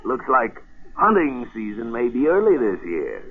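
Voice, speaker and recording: An actor in a 1940s radio drama playing an excitable colonial villager, eager, teasing and conspiratorial. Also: male voice, mid-range voice, unhurried, husky, exaggerated voice. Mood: teasing, knowing. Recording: muffled, noisy vintage 1940s radio broadcast.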